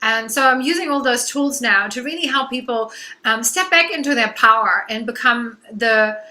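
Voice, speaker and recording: A woman's voice: fairly high pitch, normal speed, bright, forceful tone. A woman with a German accent, a guest coach being interviewed over a video call, enthusiastic, with frequent "um"s and "you know"s.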